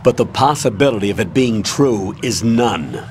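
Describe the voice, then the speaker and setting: An actor playing a stern, authoritative older colonel who briefs firmly and formally in a video game. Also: male voice, on the low side, animated, normal speed, a little mellow, a little hiss or hum. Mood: stern, flat. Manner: firm, formal, matter-of-fact. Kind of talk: dramatic game voice acting.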